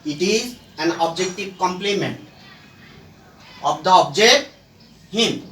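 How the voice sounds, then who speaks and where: A man teaching a grammar lesson in a halting, emphatic way, phrasing word by word. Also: high voice, lively intonation, fairly bright tone. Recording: echoey room, distant microphone.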